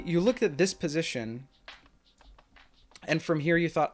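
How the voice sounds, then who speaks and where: A young man streaming, casually analyzing a chess game in a rambling way full of fillers and restarts, his throat strained from hours of talking. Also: fairly high pitch. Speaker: streamer reviewing chess games.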